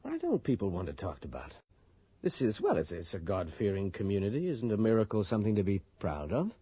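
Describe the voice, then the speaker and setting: An actor playing a polite, questioning, educated visiting reporter in a 1980s radio drama. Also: male voice, on the low side, huge pitch swings, brisk, slightly rough, heavily acted. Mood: probing. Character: visiting male reporter, polite, probing, incredulous. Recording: dull lo-fi radio drama recording.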